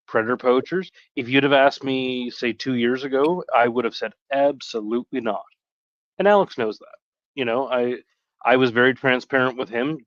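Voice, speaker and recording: A man with a mid-pitched voice talks casually on a live stream. He is halting and rambling, often correcting himself as he goes. The sound is dry and a little dull and lo-fi. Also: no echo.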